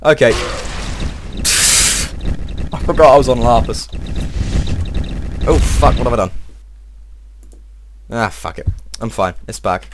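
A young man giving casual, excitable let's-play commentary, swearing and talking to himself, his voice a little strained. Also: mid-range voice, slow, pauses often, background noise. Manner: excitable, swears often, talks to himself while playing. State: slightly strained voice. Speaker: gameplay video host.

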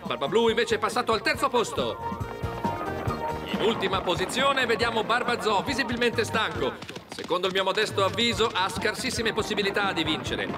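A man playing an excitable horse race announcer in a cartoon, with formal, florid commentary. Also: extremely high pitch. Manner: formal, florid sportscaster phrasing.

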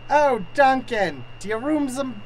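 A woman's voice: high voice, expressive, slow pace, poor audio. A woman playing a crazy old Cockney maid who grumbles and sings at her chores in an improvised radio play. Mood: flustered, apologetic. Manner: sing-song muttering while working. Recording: faint background noise.

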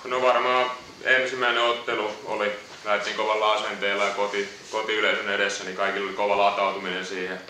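A basketball player answering postgame questions, hesitant and repeating words. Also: male voice, on the low side, level tone, hardly any pauses, pushed and bright, slight background noise. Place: echoey press room. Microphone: distant room mic. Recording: echoey room audio from far off.

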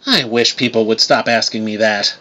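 A man's voice: on the low side, steady tone, normal speed, pushed and bright. A man voicing a gracious, outspoken woman musician who speaks in an articulate, emphatic way. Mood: irritated.

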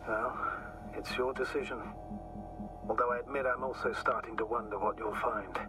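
A man playing a calm, skeptical astrogator who guides a mission in a video game, measured and matter-of-fact. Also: medium pitch, background noise, natural voice. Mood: composed. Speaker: astrogator guiding by radio. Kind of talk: naturalistic video game acting.

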